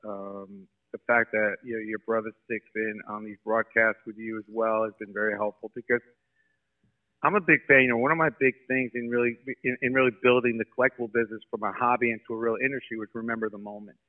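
Guest: brisk New man, quick talker, tinny phone-line sound. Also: lowish voice. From Brooklyn, New York. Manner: brisk, animated storyteller. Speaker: sports marketing guest. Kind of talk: podcast interview.

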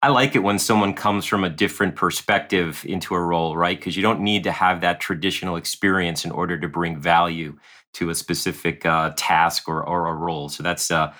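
A man's voice: low voice, steady tone, fairly quick, pushed and bright. A man co-hosting a sales podcast in a friendly, conversational way. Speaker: podcast co-host, sales leader.

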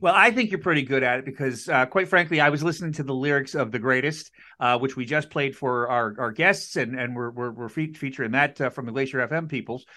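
A man's voice: mid-range voice, a little fast, few pauses, pushed and bright. A man hosting a podcast, enthusiastic and upbeat, often stumbling over and repeating his words. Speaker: podcast host.

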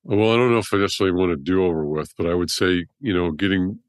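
A middle-aged man, a surgeon guest with a deep voice, rambling through his story in a casual interview. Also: quick pace, no room sound, studio quality. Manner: rambling, restarts often.

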